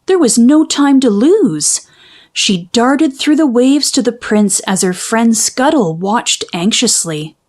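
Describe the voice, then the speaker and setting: A woman reading a children's story aloud in expressive, dramatic, carefully phrased narration. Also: fairly high pitch, slow pace. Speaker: storybook reader.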